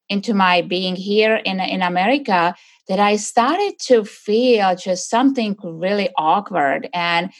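A middle-aged woman from Czechoslovakia, answering interview questions in an earnest, searching way with many fillers and restarts. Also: mid-range voice. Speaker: guest.

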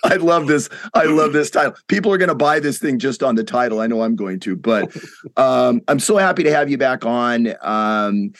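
A middle-aged man hosting a podcast, hoarse and raspy from a head cold, speaking in an earnest, inspirational way. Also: mid-range voice, normal speed, no room sound. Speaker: podcast host. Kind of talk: podcast monologue and interview.

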